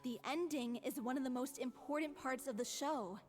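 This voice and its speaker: A woman's voice: high voice, normal speed, a little mellow, soft background music, lightly acted. An actress playing a proper, assertive and exacting young theatre troupe woman in a video game. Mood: firm, explanatory.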